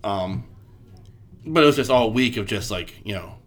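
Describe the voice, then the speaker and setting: A man's voice: fairly low pitch, lively intonation, moderate pace. A man co-hosting a podcast, chatting casually with a stuffy, congested voice, rambling, swearing and filling his talk with "like". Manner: rambling, swears freely, fills with "like". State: congested from a cold. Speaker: podcast co-host. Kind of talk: casual chat.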